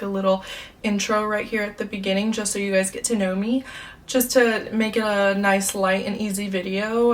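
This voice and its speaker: A woman in her twenties, born in the United States, chatting upbeat to camera as a beauty vlogger, with lots of fillers. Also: mid-range voice. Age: 20s. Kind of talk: casual chat to camera. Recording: slightly distant mic, faint room noise.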